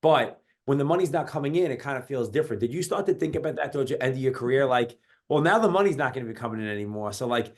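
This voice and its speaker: A man in his forties from New York hosts a podcast interview. He talks fast and loosely, stringing his questions together in long run-ons. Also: medium pitch, lively intonation. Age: 40s. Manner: quick, chatty, rambling questions. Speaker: podcast host. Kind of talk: casual interview.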